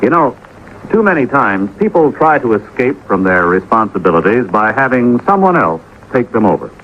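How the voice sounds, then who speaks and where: A man's voice: mid-range voice, big pitch swings, moderate pace. An American man giving a folksy, conversational scripted public service message as a 1950s radio announcer. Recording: dull, slightly noisy old radio recording.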